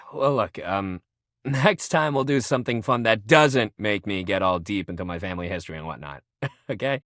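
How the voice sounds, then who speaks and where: A man's voice: fairly low pitch, normal speed, a bit bright, no room sound, clean studio sound, close to an everyday voice. A man voicing a sporty young guy in a video game, an upbeat badminton lover who talks in slangy, buddy-like coach pep talk. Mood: wistful, reflective. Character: upbeat, sporty young badminton-loving dude, animated shuttlecock. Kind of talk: video game character voice acting.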